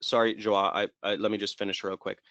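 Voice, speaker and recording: A man hosting a live webinar Q&A, answering students in a brisk, assertive way and cutting in to wrap things up. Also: lowish voice, flat delivery, bright, forceful tone, no echo, muffled recording. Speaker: course host answering student questions.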